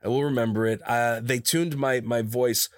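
A man chatting casually in an interview as a voice actor, telling stories in a rambling, animated way and often repeating himself. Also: moderate pace, dry recording, studio quality. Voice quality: higher-pitched natural voice. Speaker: voice actor guest. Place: his own studio. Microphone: studio microphone, close.

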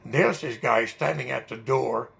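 A man's voice: mid-range voice, measured pace, creaky, a bit bright. An older man, not a native English speaker, recalling a personal story in a rambling oral history interview. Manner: rambling, vivid recollection with fillers.

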